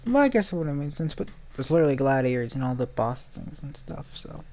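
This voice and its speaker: A woman's voice: extremely low pitch, sing-song, fast talker, dark tone. A woman gaming streamer giving casual, chatty commentary on gameplay.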